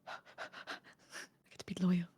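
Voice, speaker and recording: A woman's voice: measured pace, warm tone. A woman player talking casually through her moves in a tabletop game, informal and tentative.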